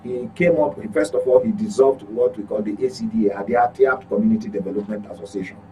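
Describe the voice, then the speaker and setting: A man's voice: on the low side, fast, dark tone, slight background noise, rough recording. A man with a Nigerian accent giving opinionated current affairs commentary as a journalist, speaking emphatically, repeating points and asking rhetorical questions.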